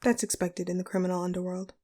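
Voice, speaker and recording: Woman playing a mellow, formal supervillain boss lady. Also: lowish voice, level tone, close to an everyday voice. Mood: encouraging, explanatory. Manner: formal, measured, articulate phrasing. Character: composed, courteous female supervillain boss, pragmatic and secretly kind. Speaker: audio roleplay performer. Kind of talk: scripted audio roleplay monologue addressed to the listener.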